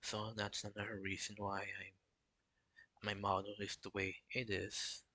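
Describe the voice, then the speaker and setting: A young man talking casually on a live stream, in a flat, halting way with many restarts. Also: lowish voice, no echo. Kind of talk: casual solo stream talk.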